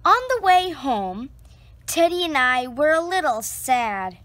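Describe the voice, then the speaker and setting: A woman reading a children's picture book aloud, slow and expressive, close to the camera indoors. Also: no room sound. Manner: slow, expressive storytelling with lively pitch.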